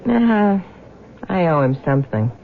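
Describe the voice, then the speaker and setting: An actress playing a greedy, scheming wife with a sly, slangy, drawling manner in a 1970s radio drama. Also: female voice, fairly low pitch, very animated, normal speed, creaky, phone-quality sound, slight background noise. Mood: sly, teasing. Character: greedy, scheming, unfaithful wife, hard-boiled and brassy. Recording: thin old radio broadcast.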